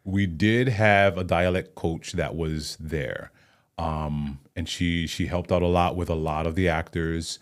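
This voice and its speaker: A man's voice: deep voice, fairly even intonation, unhurried. A man from Hawaii, a guest actor and playwright, talking thoughtfully in a podcast interview and explaining at length with small restarts.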